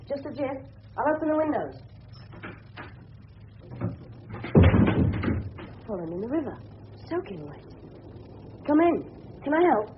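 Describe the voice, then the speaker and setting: An actor playing an earnest, excitable teenage schoolboy in a radio drama, talking in clipped phrases. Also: male voice, very high-pitched, heavily acted. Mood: alarmed, urgent. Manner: clipped, thinking aloud. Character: earnest English schoolboy in his teens, excitable, curious. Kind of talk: 1970s radio drama acting. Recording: dull, noisy old radio broadcast.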